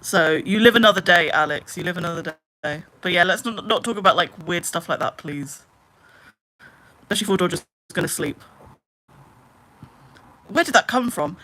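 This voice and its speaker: A woman in her late twenties chatting casually on a live stream, rambling with lots of "like" and trailing into low mutters. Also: moderate pace, long pauses, sharp tone. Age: 20s. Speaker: livestreamer.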